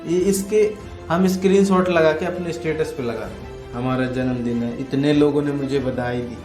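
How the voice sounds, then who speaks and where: A man's voice: on the high side, normal speed. Man coaching in an echoey room. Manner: repetitive, emphatic explaining with rhetorical questions and listed examples. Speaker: network marketing coach. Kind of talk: instructional talk to viewers. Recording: roomy echo with music underneath. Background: music.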